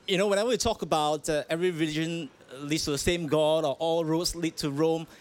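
A man's voice: a little high, fairly even intonation, a little fast, a little hiss or hum. A man from Malaysia, a pastor, answering interview questions earnestly, with hesitant restarts and fillers, outdoors at a festival. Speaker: pastor, guest.

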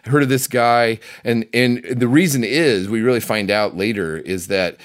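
A man's voice: mid-range voice, normal speed. Male review-show host, casual and chatty, unscripted, rambles and doubles back mid-sentence.

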